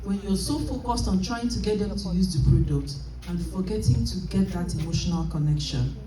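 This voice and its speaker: A woman giving a motivational sales training talk at a convention, animated and emphatic, often laughing. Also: medium pitch, brisk. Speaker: business trainer. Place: large convention hall. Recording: echoey hall from far off.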